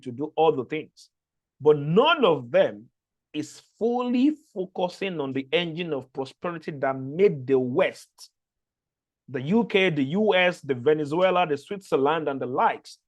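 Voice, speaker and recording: A man from Nigeria with a Nigerian accent, English not his first language, giving an earnest onboarding talk to a fellowship cohort with frequent restarts. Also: fairly high pitch. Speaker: fellowship organizer.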